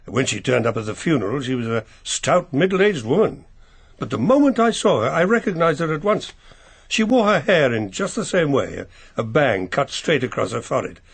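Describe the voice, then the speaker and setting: An actor playing a proper, reserved older English family solicitor, precise and a little hesitant, in a radio mystery drama. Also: male voice, mid-range voice, very animated, moderate pace, creaky, slightly rough, heavily acted. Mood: measured recollection.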